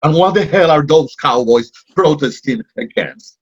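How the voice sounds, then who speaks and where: A man's voice: on the high side, moderate pace, lightly acted. An actor with a Puerto Rican accent playing an indignant, sarcastic Puerto Rican man in a play reading. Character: Puerto Rican man raised in New England, indignant, fussy. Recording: dull lo-fi audio.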